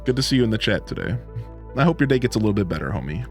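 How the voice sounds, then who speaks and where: A man's voice: on the low side, big pitch swings, very fast, faint music behind. A man from Ohio with a Midwestern twang, chatting casually with live-stream viewers in a rambling, laid-back way. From Northeast Ohio, USA.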